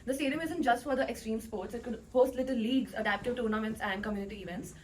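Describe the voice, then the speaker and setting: A woman's voice: a little high, fast, slight background noise. A woman giving a prepared business argument as a debate panelist in a plain, explanatory way. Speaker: debate panelist playing an executive.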